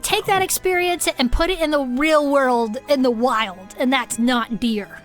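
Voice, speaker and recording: A woman's voice: high voice, a little slow, soft background music. A woman voicing a small, chatty creature who co-hosts a comedy fiction podcast in a folksy, matter-of-fact way. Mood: matter-of-fact, amused. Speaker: podcast co-host. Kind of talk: comedic improvised in-character banter.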